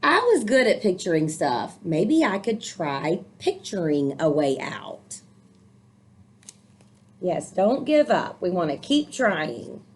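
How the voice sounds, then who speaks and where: A woman's voice: on the low side, very animated, slow, slight background noise. A woman reading a picture book aloud to children, expressively, with encouraging, explanatory asides. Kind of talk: storybook read-aloud with commentary for children.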